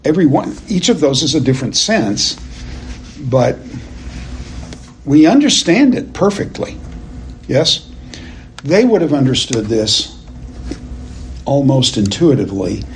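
A man teaching a Bible class verse by verse, speaking in a deliberate, halting and emphatic way and putting questions to his listeners. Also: mid-range voice, big pitch swings, slight background noise. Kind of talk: expository lecture to a class, verse by verse.

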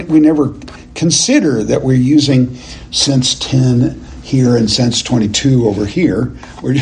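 A man teaching a Bible class verse by verse, speaking in a deliberate, halting and emphatic way and putting questions to his listeners. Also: medium pitch, a little mellow, slight background noise. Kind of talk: expository lecture to a class, verse by verse.

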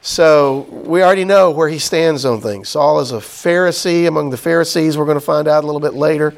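A man's voice: on the high side, a little fast, creaky. A middle-aged man, teaching a Bible class in a loose, conversational way with many fillers and restarts. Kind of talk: casual Bible study lecture.

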